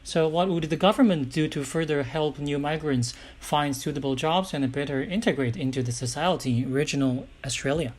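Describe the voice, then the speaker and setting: Higher-pitched man, polite interviewer. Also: normal speed, hardly any pauses. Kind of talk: formal prepared interview questions.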